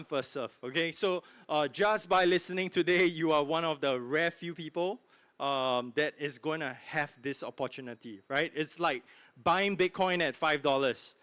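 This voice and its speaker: A man giving a keynote sales pitch in a conference hall, pushy and full of fillers, asking "right?" over and over. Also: a bit bright. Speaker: keynote speaker. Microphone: handheld mic.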